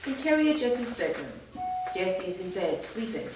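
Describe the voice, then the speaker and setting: A woman's voice: medium pitch, very animated, measured pace, background noise. A woman steadily reading a screenplay's scene directions aloud in a matter-of-fact way. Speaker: reader of a screenplay. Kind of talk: staged reading of stage directions. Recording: echoey room from far off.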